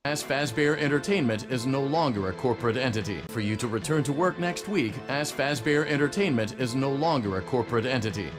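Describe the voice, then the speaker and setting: A man's voice: mid-range voice, a little fast, few pauses, natural voice. Man acting formal company man over music. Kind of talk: scripted game dialogue. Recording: played-back game clip with music.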